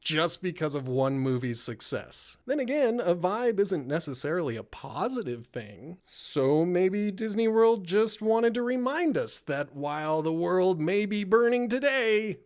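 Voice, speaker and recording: A man's voice: high-pitched, animated, unhurried, hardly any pauses, darkish tone. A man hosting a comedic news show, delivering scripted commentary with wry, sardonic asides.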